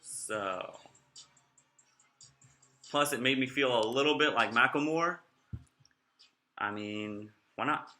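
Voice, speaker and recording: A man's voice: medium pitch, slow, long pauses, sharp tone, echoey room, faint music behind. A young man vlogging in a casual, rambling way, sounding nasal and slurring his words a little. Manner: rambling, off-topic, chatty.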